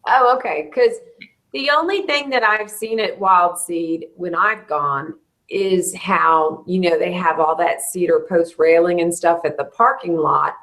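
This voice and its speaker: A hoarse woman chats casually as host, her voice sitting a little low. She talks in a friendly, rambling way and laughs easily. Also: a bit bright, poor audio. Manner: chatty, quick to laugh. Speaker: host of a bluebonnet bloom update. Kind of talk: casual live chat with a co-host.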